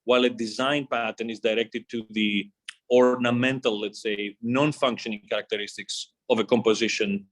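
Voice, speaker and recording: A man presenting as a company executive on an earnings call, reading scripted material in a formal, measured way, phrase by phrase. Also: on the low side, fairly even intonation, fairly bright tone.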